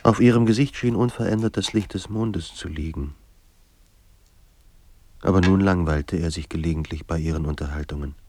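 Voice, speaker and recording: A man performing as a somber narrator of a troubled writer's life in a radio drama, with measured, grave delivery. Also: low voice, a little mellow, slight background noise, close to an everyday voice. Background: music.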